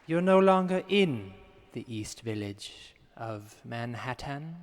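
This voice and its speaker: A man playing Juliet, a serene, stubborn new-age guru woman, speaking in slow, solemn, commanding phrases as in an improvised comedy meditation. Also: mid-range voice, expressive, in a large hall, some chatter behind. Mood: solemn, hypnotic.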